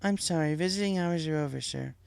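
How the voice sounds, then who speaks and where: A man voicing a polite, sympathetic but firm female pony nurse in a storybook, gentle and professional. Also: a little high, brisk, vocal fry, clear, resonant tone, no echo, pitched above the performer's own voice. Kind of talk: storybook character voice.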